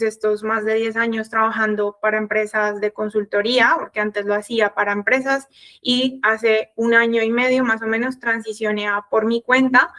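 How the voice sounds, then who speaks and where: Higher-pitched Colombian woman coach. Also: fairly bright tone. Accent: Colombian Spanish. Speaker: sales coach and consultant. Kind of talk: online workshop presentation, conversational.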